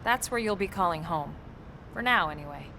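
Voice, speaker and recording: A woman's voice: lowish voice, expressive, a little fast, pushed and bright, slight background noise. A woman playing a seasoned, matter-of-fact ex-colonel with a dry, authoritative manner in a video game cutscene. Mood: composed, wry. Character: composed, seasoned female ex-colonel, brisk and dryly wry.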